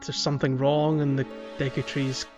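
A man co-hosting a casual gaming podcast, speaking in hesitant, halting phrases. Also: medium pitch, flat delivery, over music. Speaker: podcast co-host. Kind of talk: casual video game discussion.

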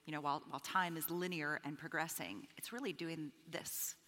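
A woman's voice: sits low, steady tone, brisk, bright, forceful tone, a little hiss or hum. A woman from the Bahamas, a guest on a live podcast, speaking in an earnest, emphatic way. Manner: emphatic, earnest, repeats words. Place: conference stage with hall. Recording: live hall reverb.

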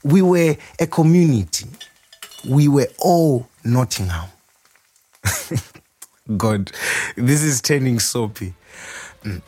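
An actor playing a warm, proud, playful nurse turned chef in an expressive audio monologue. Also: male voice, medium pitch. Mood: wry. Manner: expressive, reflective, wryly humorous. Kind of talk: dramatic first-person monologue.

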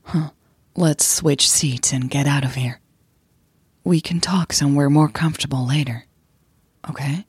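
A woman voicing a tender, teasing female lover in an audio drama, softly murmured. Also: deep voice, fairly even intonation, a little slow, long pauses, husky, no echo, full character voice. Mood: gentle, reassuring.

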